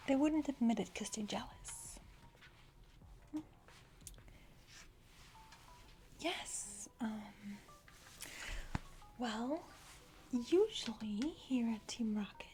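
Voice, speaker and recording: A woman plays a self-important female villain's grunt in an ASMR roleplay. She whispers breathily into a close mic at a slow pace, confiding and a little hesitant, with music underneath. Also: fairly high pitch, warm tone. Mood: boastful, coy. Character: boastful, eager young female criminal henchwoman. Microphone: close microphone.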